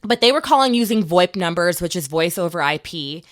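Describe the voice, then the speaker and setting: A woman with a slightly low voice hosts a podcast in loose, chatty storytelling. She is informal and animated when quoting people, recorded close and dry. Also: a little fast, no room sound. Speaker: podcast host. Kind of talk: casual conversational storytelling.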